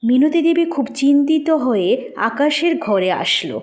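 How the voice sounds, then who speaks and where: Fairly high-pitched woman narrator. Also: expressive, moderate pace. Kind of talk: scripted story narration.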